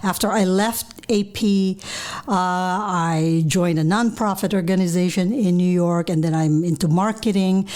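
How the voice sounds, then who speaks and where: A woman's voice: on the low side, steady tone, a little slow, a little mellow. A middle-aged woman from the Philippines with a Filipino accent, answering interview questions as a guest author.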